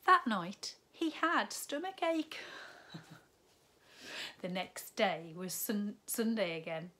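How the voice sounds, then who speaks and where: A woman, a primary school teacher reading a picture book aloud to children from her living room. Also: mid-range voice. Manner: slow, expressive storytime reading with lively rises on key words. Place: living room at home, indoors.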